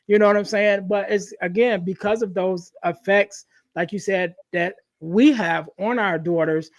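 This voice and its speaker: A man's voice: high-pitched, unhurried, no room sound. A man talking conversationally as a podcast host, making his points with emphasis and checking that the listener follows.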